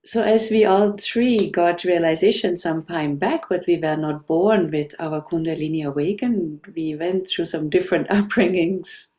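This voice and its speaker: A woman from Austria hosting a relaxed spiritual discussion, speaking gently and laughing often. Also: lowish voice, moderate pace, few pauses. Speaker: host.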